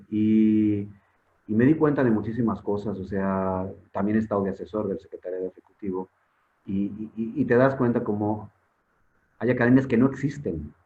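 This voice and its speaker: A man born in Spain speaking as a guest expert in an online forum, emphatic, with rhetorical questions and repeated words while he searches. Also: lowish voice. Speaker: guest expert on public security. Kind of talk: conversational lecture in an online forum. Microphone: video call. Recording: tinny phone-like online call audio.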